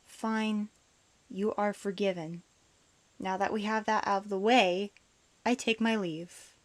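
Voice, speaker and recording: A woman's voice: mid-range voice, steady tone, takes it slowly, lightly acted. A woman acting a bitter, despondent outcast in a comic dub, guarded, sullen and dismissive. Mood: guarded, resentful.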